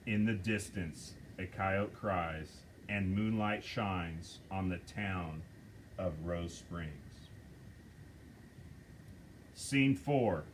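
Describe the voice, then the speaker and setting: A man reading stage directions aloud at a script table reading, slow and measured. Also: low-pitched, slight background noise.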